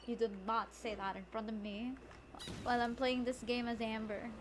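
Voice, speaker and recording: A woman's voice: fairly high pitch, fairly even intonation, normal speed, noisy background. A woman giving casual, playfully dramatic commentary as she streams a game. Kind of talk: casual live gaming commentary.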